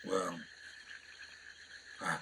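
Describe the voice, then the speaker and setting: An actor playing a grim, determined male scientist on a jungle expedition, grave and halting with rough diction, in a radio play. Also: bright, forceful tone. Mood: grave, uneasy.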